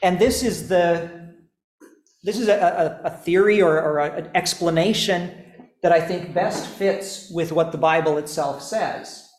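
A man teaches a class at an unhurried, deliberate pace, building his points step by step with examples. His voice sits a little on the high side. The classroom around him gives the sound a noticeable echo. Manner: methodical, explains step by step with examples. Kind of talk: classroom lecture. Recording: echoey classroom.

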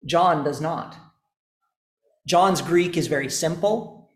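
A man teaching a class, explaining slowly and deliberately with pauses. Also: fairly high pitch. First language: not English, also speaks Estonian. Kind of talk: classroom lecture. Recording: echoey room.

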